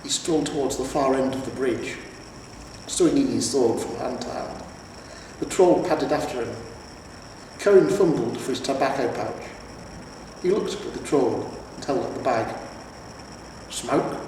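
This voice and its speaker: A man's voice: mid-range voice, moderate pace, long pauses, a little mellow. A man reading a fantasy story aloud as scripted narration. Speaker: audiobook reader. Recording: echoey reverberant room, distant mic, faint noise.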